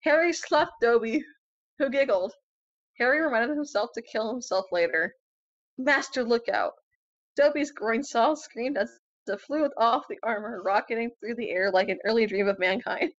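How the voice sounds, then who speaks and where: A woman's voice: a little high, normal speed. A woman co-hosting a casual read-aloud of fan fiction, somewhat hesitant, on a dull lo-fi recording. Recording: dull, lo-fi, dry close sound.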